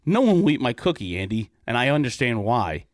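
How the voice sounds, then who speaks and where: A man's voice: mid-range voice, very animated, brisk, no room sound, studio quality, close to an everyday voice. Man putting on a plaintive storybook girl. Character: sweet, dejected girl offering cookies in comic storybook.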